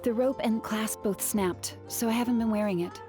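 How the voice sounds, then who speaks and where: An actress playing a modest, earnest villager in a video game, speaking politely. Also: female voice, on the high side, normal speed, natural voice. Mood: apologetic. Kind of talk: English video game voice acting. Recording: clear background music.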